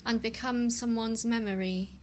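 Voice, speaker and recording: A woman, reading a poem in a slow, gentle recital. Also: on the high side, fairly even intonation, a little hiss or hum. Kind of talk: poetry reading.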